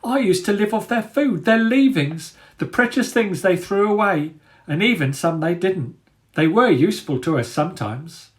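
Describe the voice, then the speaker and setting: A man's voice: high voice, expressive, moderate pace, pitched above the performer's own voice. A man voicing a timid but brave old male rat, fretful and self-chiding, in a storybook reading. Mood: nervous, pleading. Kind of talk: dramatic storybook character reading.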